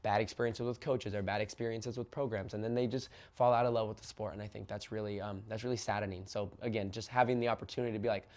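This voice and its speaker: A man's voice: lowish voice, fairly even intonation, a little mellow. A young man from Oregon who coaches youth soccer, telling his story in a casual, earnest interview with plenty of fillers and restarts. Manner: earnest, fast talker, many fillers and restarts.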